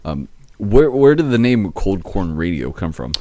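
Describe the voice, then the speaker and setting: A man's voice: low voice, sing-song, unhurried, mellow. A man in his late twenties hosting a podcast, joking casually and stammering on repeated words. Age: late 20s. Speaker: podcast host. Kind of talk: casual chat.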